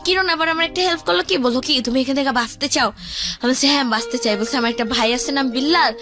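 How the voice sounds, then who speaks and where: Young woman, Bangladeshi accent, high-pitched, conversational life story, colloquial, quoting others, faint music behind. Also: quick pace. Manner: colloquial, acts out quoted speech. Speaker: guest telling her life story.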